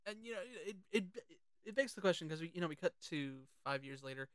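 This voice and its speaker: An excitable, sweary podcast host chatting casually about films. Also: medium pitch, quick pace, smooth, no room sound. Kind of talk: casual film chat with quoted lines.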